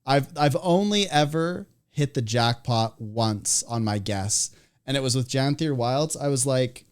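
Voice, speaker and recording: A young man from Canada hosts a relaxed chat, his accent a blend of Canadian and American. He talks easily, laughs often and trips over a few restarts. His voice sits at an ordinary middle pitch. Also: clear, resonant tone. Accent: Canadian, partly American. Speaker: podcast host. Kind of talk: casual chat.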